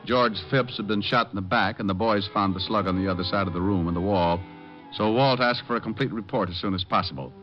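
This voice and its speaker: A man's voice: fairly low pitch, a little fast, natural voice. A man playing a cocky, sardonic private detective who narrates and trades dry wisecracks in an old-time radio drama. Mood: matter-of-fact narration. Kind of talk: 1940s-50s radio detective acting and narration. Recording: dull old-time radio recording, music.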